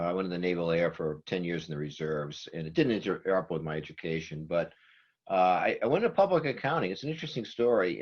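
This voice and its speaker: A man's voice: low voice, dull audio. A man in his seventies from Massachusetts with a Boston accent, chatting as a guest and laughing easily. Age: 70s. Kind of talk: casual video call chat.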